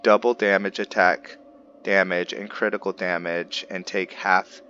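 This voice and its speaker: A man's voice: low-pitched, level tone, unhurried, pushed and bright, soft background music. A man giving casual, improvised game commentary, musing aloud to himself in a tentative way. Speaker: gaming video host. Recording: muffled, with clear background noise.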